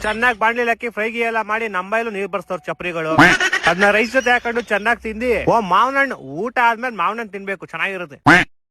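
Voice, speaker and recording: A man's voice: sits high, fast, very noisy. A man gives casual, slangy comic voice-over commentary, teasing and mock-exasperated, full of rhetorical questions. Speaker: video commentator.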